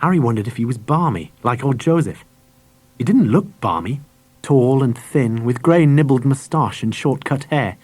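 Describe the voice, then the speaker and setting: A man's voice: medium pitch, animated, normal speed, darkish tone, husky, no echo. A man reading a children's story aloud as a scripted audiobook narration. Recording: dry cassette audiobook transfer.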